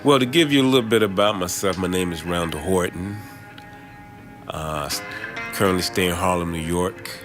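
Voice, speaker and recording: A man's voice: sits low, moderate pace, over music. A man from Alabama telling his own life story in an interview. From Birmingham, Alabama. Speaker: poet and professor, former prisoner. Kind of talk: autobiographical interview talk.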